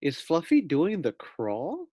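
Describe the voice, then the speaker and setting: A confident young storybook girl, voiced by a male reader, curious and self-assured. The lines are taken slowly, in a dry, close recording. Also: on the high side, wildly swooping pitch, dark tone, breathy edge, no room sound, pitched above the performer's own voice, heavily acted. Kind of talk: storybook read-aloud for children.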